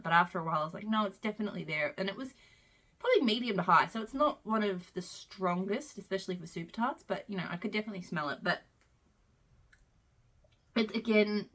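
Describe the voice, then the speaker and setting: A woman's voice: medium pitch, fairly bright tone. A woman with a head cold and a congested voice, casually reviewing her used-up wax melts at home in a rambling, chatty way. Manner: rambling, chatty, frequent "um" and side tangents.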